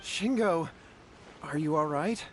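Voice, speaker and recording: An actor playing a concerned, apologetic young brother in a video game, with a polite, deferential manner. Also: male voice, on the high side, slow, slight background noise. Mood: worried.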